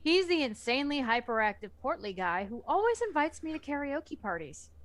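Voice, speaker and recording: A woman reading a novel aloud in the first person for a casual book club, in a plain, even delivery. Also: high-pitched, brisk, no echo.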